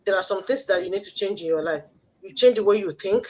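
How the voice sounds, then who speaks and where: A Nigerian woman hosting an online livestream, giving a motivational pitch with emphatic, repetitive, preacher-like exhortation. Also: fast talker, rough recording. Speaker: host of an online business promotion livestream.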